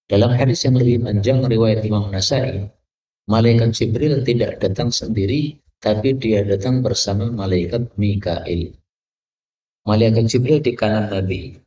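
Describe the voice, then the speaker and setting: A man's voice: lowish voice, mellow. A male Islamic teacher giving a hadith lesson, reading the Arabic text phrase by phrase and explaining each part. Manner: reads an Arabic chain of narrators, then explains each phrase in Indonesian. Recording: echoey, lo-fi, from a distance.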